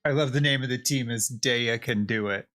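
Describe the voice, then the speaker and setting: A man's voice: medium pitch, moderate pace, a bit bright. A man casually commentating on a game he is playing, chatting encouragingly to viewers and often repeating himself. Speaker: streamer. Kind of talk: casual live gaming commentary.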